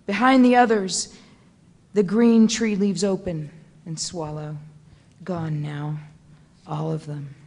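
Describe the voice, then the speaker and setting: A woman narrating a dramatic literary reading on stage with suspenseful, deliberate phrasing. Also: on the low side, steady tone, mellow. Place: large theatre stage. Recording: reverberant theatre hall.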